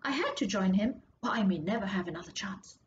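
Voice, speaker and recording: A woman reading a story excerpt aloud with expressive, measured and dramatic narration. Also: medium pitch. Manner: measured, dramatic, drops to hushed tones for suspense. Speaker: author reading their own story. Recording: muffled audio with clear background noise.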